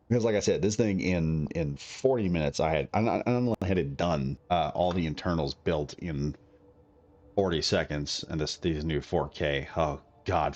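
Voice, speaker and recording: A man's voice: low-pitched, a little mellow, dull audio. A man recovering from a cold, coughing now and then, giving a rambling, chatty commentary on a game build. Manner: rambling, chatty, self-correcting. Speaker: gaming video creator.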